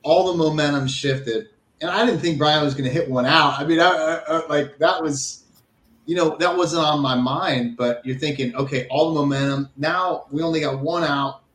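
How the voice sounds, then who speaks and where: A man's voice: a little high, moderate pace, fairly bright tone. A man in his forties, a former ballplayer, chatting casually as an interview guest and reminiscing. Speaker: former college baseball player, interview guest. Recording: roomy, echoing sound.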